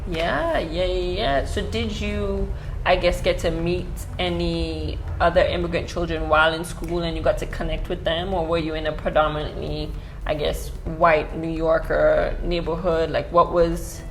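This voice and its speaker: A woman hosting a casual interview podcast, her voice set fairly low. She is enthusiastic and affirming, with a steady stream of encouragement. She sounds like she is in an echoey room with faint background noise. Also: moderate pace. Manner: enthusiastic, affirming, lots of "yeah" and "mm-hmm". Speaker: podcast host.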